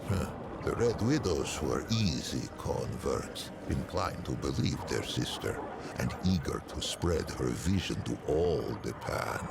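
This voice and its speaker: A man playing an ancient, learned non-human storyteller in a video game, narrating old lore in a stately, solemn, wry manner with archaic phrasing and deliberate pauses. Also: big pitch swings, very noisy, heavily acted. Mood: solemn storytelling. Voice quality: very deep, gravelly. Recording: music behind the voice. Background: prominent background music.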